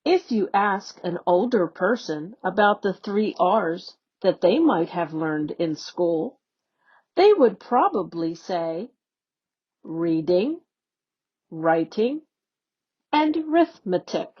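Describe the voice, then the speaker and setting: A woman educator reading a children's newsletter aloud in a slow, deliberate, teacherly way. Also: fairly low pitch, very animated, breathy edge. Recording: dull, lo-fi recording.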